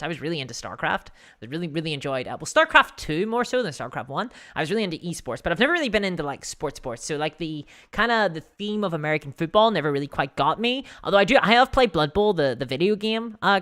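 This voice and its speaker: A livestream host with a hoarse voice, chatting casually and self-deprecatingly about tabletop games and answering viewers. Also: mid-range voice, bright, forceful tone. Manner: giggly, laughs often while talking.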